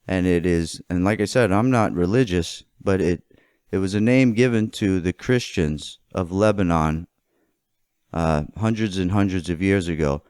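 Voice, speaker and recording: Low, smooth-voiced man giving opinionated commentary. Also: moderate pace, a little mellow, no echo, studio quality. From United States. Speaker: political commentator. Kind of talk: opinionated monologue.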